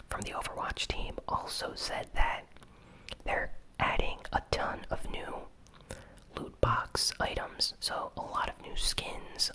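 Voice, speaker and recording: Hushed ASMR whisper of a gaming news host. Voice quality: breathy unvoiced whisper. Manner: hushed, hesitant, unscripted delivery.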